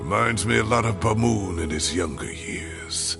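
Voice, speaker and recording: An earnest, gravelly old professor. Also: male voice, on the low side, normal speed, music in the background. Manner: earnest, pleading. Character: older male academic professor, remorseful.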